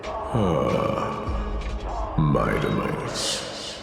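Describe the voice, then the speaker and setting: A male actor playing an ancient war god in a video game, grave and menacing, making slow, solemn, archaic pronouncements. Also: a bass voice, very animated, vocal fry, heavily acted. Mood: ominous. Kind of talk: dramatic video game voice acting. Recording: long cavernous reverb, loud game music.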